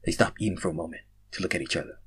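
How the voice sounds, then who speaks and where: Man, amateur fan fiction narration, low pitch, very raspy and muffled, stumbling delivery. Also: rapid-fire, fairly bright tone. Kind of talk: amateur story reading with acted dialogue.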